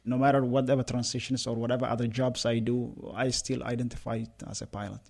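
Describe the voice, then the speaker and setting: A man's voice: mid-range voice, level tone, fast talker, vocal fry, a little mellow. Reflective Somali man in his forties. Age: 40s. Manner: reflective, many filler uhs. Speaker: interviewee, former pilot. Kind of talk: recorded personal account.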